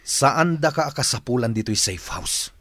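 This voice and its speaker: An actor playing a resentful, hot-tempered son of a syndicate boss in a radio drama, bitter and confrontational. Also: male voice, mid-range voice, animated, normal speed, fairly bright tone. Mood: scornful, angry. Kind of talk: Ilocano radio drama acting.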